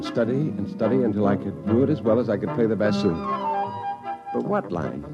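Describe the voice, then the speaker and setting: A man's voice: on the low side, dull audio. An actor playing a flustered, exasperated unemployed man who narrates a 1950s radio drama.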